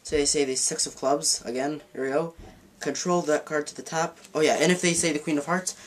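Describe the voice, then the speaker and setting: A man's voice: a little high, steady tone, brisk. A man teaching a card trick in a casual tutorial, rambling and correcting himself as he handles the cards at a table in a dim room. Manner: rambling, self-correcting, demonstrating while talking. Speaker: magic teacher.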